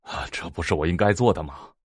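A man's voice: sits low, brisk, husky, no room sound, clean studio sound. An actor playing a self-righteous, grumbling middle-aged man in an audio drama. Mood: agreeable. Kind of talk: Chinese audio drama acting.

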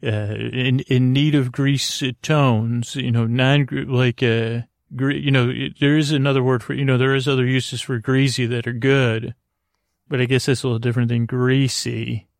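A man with a creaky voice hosting a bedtime podcast, gently meandering and halting as he keeps interrupting himself. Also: medium pitch, little pitch movement, no echo, clean studio sound. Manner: gentle, lulling. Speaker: podcast host. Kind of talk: rambling bedtime monologue.

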